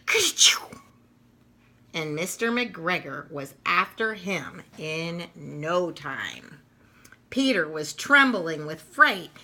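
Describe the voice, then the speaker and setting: A woman reading a children's story aloud in an expressive way, with dramatic emphasis for young listeners. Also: medium pitch. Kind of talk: children's storybook read-aloud with informal introduction.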